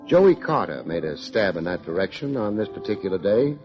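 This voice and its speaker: Wry radio private eye, lively pitch. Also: male voice, brisk, music in the background. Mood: wry narration. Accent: American. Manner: wisecracking, deadpan. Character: wry, hard-boiled male private detective, unflappable and quick-witted. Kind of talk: 1950s American radio detective acting. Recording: dull lo-fi old radio recording.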